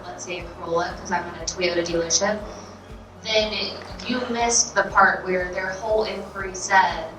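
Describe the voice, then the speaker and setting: An American woman giving practical explanations of her work practice in a group discussion. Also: medium pitch. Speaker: car dealership employee, workshop participant. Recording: echoey room, distant mic, background music.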